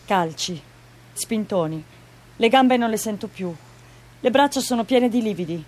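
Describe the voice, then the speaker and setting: A woman's voice: medium pitch, animated, unhurried, darkish tone. Battered woman, in clipped fragments. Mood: stunned, anguished. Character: battered woman recounting a violent beating as it happens. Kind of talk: theatrical radio monologue.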